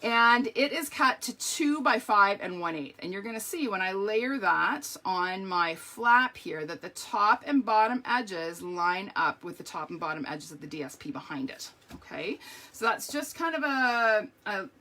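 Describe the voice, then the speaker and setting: A middle-aged woman with a strained, hoarse voice, chatting through a live card-making tutorial with lots of ums and okays. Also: mid-range voice, animated, unhurried, sharp tone.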